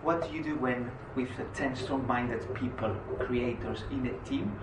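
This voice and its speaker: A man from Greece giving a conference presentation, pausing often with "uh" between phrases. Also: medium pitch. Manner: frequent "uh" hesitations. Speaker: conference speaker. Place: conference hall. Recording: echoey hall, distant mic, noisy.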